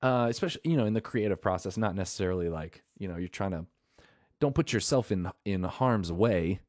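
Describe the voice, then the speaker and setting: A man's voice: low-pitched, animated, brisk, a little mellow, no room sound, studio quality. A man hosting a podcast alone in a room, rambling and enthusiastic, often correcting himself mid-sentence. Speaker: podcast host. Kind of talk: casual solo podcast talk.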